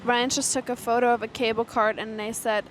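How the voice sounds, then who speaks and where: A young woman from Germany, native in German, chats casually to vlog viewers. Her voice sits a bit high, and music plays clearly behind it. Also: flat delivery, a little fast, rough recording. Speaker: vlogger.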